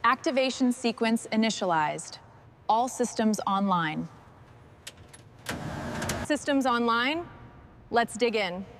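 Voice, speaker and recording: A woman's voice: fairly high pitch, lively intonation, slow, long pauses, noisy background. A woman speaking short, scripted remarks and commands as a machine restorer at work.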